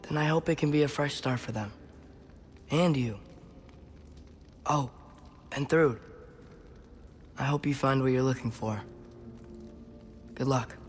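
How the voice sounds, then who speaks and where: An actor playing an earnest, gentle teenage boy hero in a fantasy video game, speaking in a halting, sincere way. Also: mid-range voice, faint music behind, close to an everyday voice. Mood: gentle, hopeful. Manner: halting, sincere, soft-hearted.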